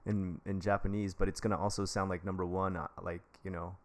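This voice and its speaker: Chatty American man with a low voice. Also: level tone, a little fast. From United States. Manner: rambling, jokey, chummy, addresses viewers directly. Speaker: livestream advice host; English teacher. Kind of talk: casual live-stream advice chat.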